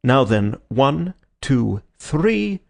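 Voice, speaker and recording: A man reading an audiobook, voicing a brisk, theatrical male detective who speaks crisply and courteously. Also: medium pitch, sing-song, a little mellow, full character voice. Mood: commanding. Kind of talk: audiobook character voice.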